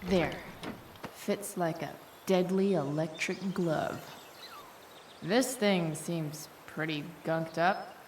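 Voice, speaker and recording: Sardonic, slow-talking teenage rebel girl. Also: lowish voice, darkish tone, distant mic, a little hiss or hum. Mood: wry, focused. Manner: sardonic, blunt. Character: rebellious, defiant teenage girl, foul-mouthed and sarcastic. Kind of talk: naturalistic video game drama acting, muttering to herself.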